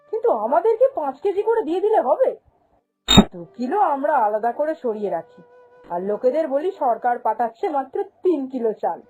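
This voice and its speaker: A woman's voice: sits high, mellow, low-quality recording. A woman voicing a greedy, domineering, haughty female shop boss in a cartoon, scolding and dismissive. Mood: scheming, bossy. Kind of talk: cartoon fairy-tale voice acting.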